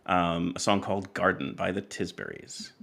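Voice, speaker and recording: A man's voice: deep voice, moderate pace, creaky, heavy background noise. A man hosting a podcast, chatting casually as he interviews a guest. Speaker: podcast host.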